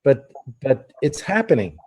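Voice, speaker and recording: A man hosting a casual interview, talking earnestly with halting starts and repeated words. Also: medium pitch, very animated, husky. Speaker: host.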